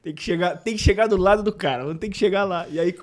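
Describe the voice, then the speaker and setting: A man's voice: high-pitched, darkish tone. A man telling a travel story in a casual chat, laughing and acting out what people said. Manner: fast, laughing, acts out quoted dialogue.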